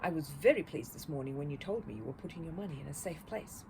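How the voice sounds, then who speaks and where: A woman puts on a kindly older male antique dealer from a storybook. He speaks with courteous concern and mild reproof, in an animated voice over audible noise. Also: deep voice, fast. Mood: gently cautioning. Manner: solicitous, mildly reproving, courteous.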